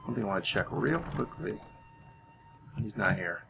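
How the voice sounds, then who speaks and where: A man's voice: lowish voice, big pitch swings, normal speed, gravelly, over music. A man giving roleplay commentary on a video game, thinking aloud as he plays.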